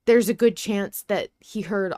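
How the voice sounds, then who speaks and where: A woman's voice: medium pitch, measured pace, mellow, no echo, clean studio sound. A woman hosting a podcast, casually discussing fiction and thinking aloud, often rephrasing and repeating for emphasis. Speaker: podcast host. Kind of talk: casual discussion of an audio drama.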